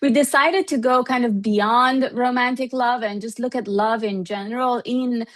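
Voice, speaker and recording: A woman's voice: fairly high pitch, moderate pace. A woman from Iran, a researcher, explaining her work in an interview in a thoughtful, hedging way peppered with "you know.". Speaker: researcher and professor being interviewed.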